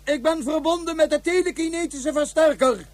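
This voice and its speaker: An actor playing a fanatical military commander in a radio play, solemn, declamatory and incantatory. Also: male voice, very high voice, normal speed, no room sound. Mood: solemn, commanding. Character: fanatical commander addressing his soldiers by telekinesis.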